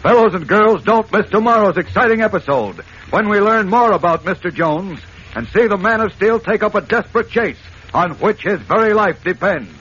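A man's voice: high voice, expressive, moderate pace, fairly bright tone. A man narrating a 1940s radio adventure serial as its announcer, in a dramatic scripted style. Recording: muffled, noisy old radio recording.

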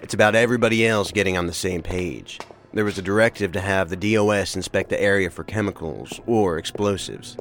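An actor playing a cool, probing male federal investigator in an audio drama, speaking in a formal, measured way. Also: low voice, a bit bright, soft background music. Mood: businesslike. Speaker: investigator in a task force.

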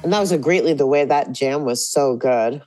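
A woman hosting a podcast, her voice a little raspy after a late night, chatting in an upbeat way with many fillers. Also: rumbling low, moderate pace, over music. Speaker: podcast host. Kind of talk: casual podcast chat.

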